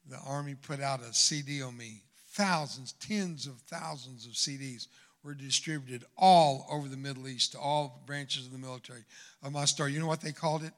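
An older man preaching a sermon in church, swinging from loud exhortation to hushed asides. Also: medium pitch, moderate pace. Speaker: guest preacher. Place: church sanctuary.

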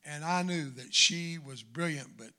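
An older man preaching a sermon in church, swinging from loud exhortation to hushed asides. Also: fairly high pitch, unhurried. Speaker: guest preacher. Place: church sanctuary.

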